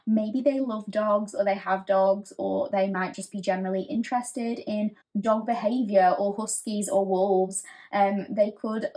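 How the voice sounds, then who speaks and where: A woman giving a pick-a-card tarot reading in a gentle, reassuring, chatty way, with frequent fillers. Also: medium pitch, steady tone, measured pace, few pauses. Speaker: tarot reader.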